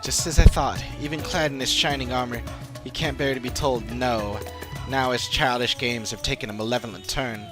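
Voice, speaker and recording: A man's voice: mid-range voice, fairly even intonation, close to an everyday voice. Man voicing a thoughtful fantasy game companion over music. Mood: concerned. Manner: measured, formal, thoughtful. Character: earnest, analytical adventuring companion in a fantasy game. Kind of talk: dramatic reading of game dialogue.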